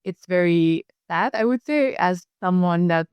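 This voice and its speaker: A woman's voice: on the low side, unhurried, clean tone, dry recording. A young woman from Indonesia in her twenties, a nutritionist chatting casually as a guest and laughing easily. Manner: relaxed, laughs easily, uses lots of "like". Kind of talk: casual interview chat.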